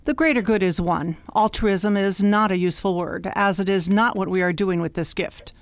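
A woman playing a wise alien mentor who talks like an exacting, gently scolding professor in a radio drama. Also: mid-range voice, steady tone, moderate pace, dry recording, lightly acted. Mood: wise, serene. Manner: precise, schoolmistressy, gently scolding. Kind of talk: science fiction radio drama acting.

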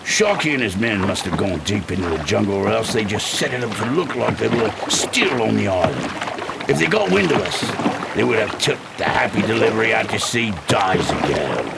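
A man playing a rough, grumbling sailor in a pirate radio drama, talking in salty colloquial seaman's speech with a gravelly voice. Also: fairly low pitch, very animated, moderate pace, heavy background noise, exaggerated voice. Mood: reporting.

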